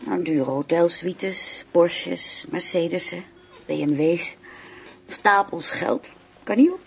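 An actress playing a flirtatious, inquisitive lover, coaxing and playfully naive in an intimate radio play. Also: female voice, fairly low pitch, slow, creaky, husky, exaggerated voice. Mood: teasing, probing. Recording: faint background noise.

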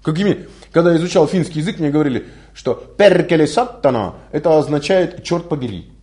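A man, not a native speaker, delivers a spiritual lecture with a lot of expression. His voice sits a little high and swings widely in pitch as he fires off rhetorical questions and tells anecdotes. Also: brisk. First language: not Russian. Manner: animated storytelling with rhetorical questions. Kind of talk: recorded religious lecture.

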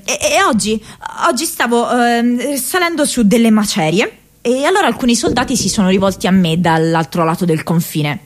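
A woman's voice: medium pitch, natural voice. A woman playing an earnest, troubled young American activist in a radio theatre diary monologue, hesitant and searching for words. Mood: sober, halting.

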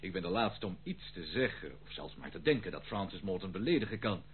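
A man's voice: low voice, expressive, a little fast. An actor playing a roguish, secretive male intruder in a radio play, nonchalant, teasing and theatrical. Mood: cajoling. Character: roguish, secretive prodigal intruder, jaunty and sly. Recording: tinny old radio recording, faint noise.

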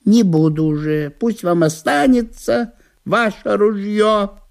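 An actor playing a prim, stubborn, easily offended provincial gentleman in a theatrical comic audio monologue, with a drawn-out, unctuous delivery. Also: high voice, creaky, heavily acted. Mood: coaxing.

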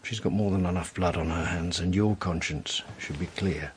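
An actor playing a level-headed investigating man in a radio drama, measured and probing. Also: low voice, lightly acted. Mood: calm, reassuring. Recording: clear background noise and ambience.

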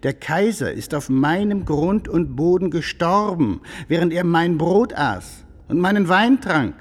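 Wily courtly lord in echoing hall. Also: male voice, a little high, lively intonation, measured pace. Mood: pleading, ingratiating. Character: wily, ingratiating medieval Armenian lord, slippery bargainer. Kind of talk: German radio drama acting. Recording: long reverberant hall.

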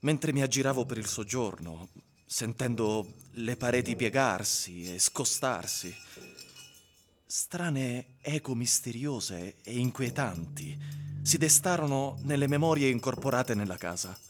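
An actor playing a reflective, sometimes hesitant husband who narrates and acts in a literary radio drama. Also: male voice, mid-range voice, animated, measured pace, lightly acted. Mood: uneasy. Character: husband, former lawyer, recalling an unsettling house hunt. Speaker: narrator and lead character. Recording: radio play over music bed.

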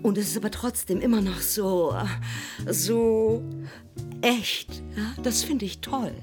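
An actress playing a chatty, self-assured, well-off hostess in a naturalistic radio drama. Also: female voice, mid-range voice, slow pace, over music, close to an everyday voice. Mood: welcoming, pleased. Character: sociable, well-off neighbourhood hostess organising against a local shop.

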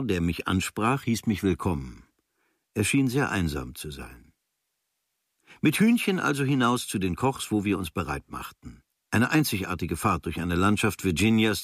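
Low-voiced man reading a literary travel diary. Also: dry recording, studio quality. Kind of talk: literary audiobook reading, scripted narration. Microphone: close studio microphone.

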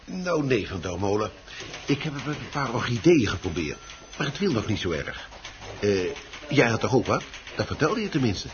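A man's voice: mid-range voice, very animated, normal speed, natural voice. An actor playing an inquisitive, teasing neighbour man in a Dutch radio play, casual and prying. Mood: curious, teasing. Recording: dull old radio recording, faint noise.

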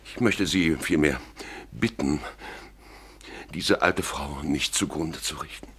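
A man playing an anxious, desperate general in a radio drama, halting and imploring as he repeats himself. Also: a bass voice, a bit bright, slightly rough, heavily acted. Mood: anxious, urgent.

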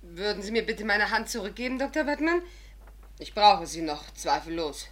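Evasive, huffy woman visitor, forceful and lively-pitched, 1950s radio drama. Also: moderate pace. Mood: indignant.

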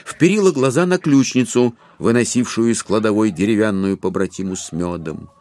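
A man's voice: fairly low pitch, normal speed, darkish tone, no echo. A man narrating a literary audio play as a storyteller, in a studio production.

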